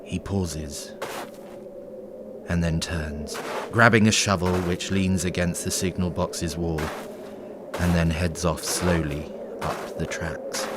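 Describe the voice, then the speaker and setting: A man narrating a scripted horror story in a measured, descriptive way over loud storm ambience. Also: low-pitched, steady tone. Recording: heavy noise behind the voice.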